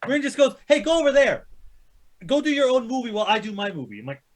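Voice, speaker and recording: Male co-host, high voice with big swings, exasperated, argumentative, sweary casual rants. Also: very animated, quick pace. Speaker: co-host of a video game movie chat show. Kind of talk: casual animated ranting, heavy swearing.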